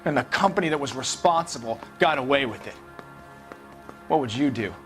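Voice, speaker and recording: A man's voice: medium pitch, animated, fairly quick, fairly bright tone, soft background music, natural voice. A man playing a bitter, defiant political radical being interrogated in a video game, sounding aggrieved.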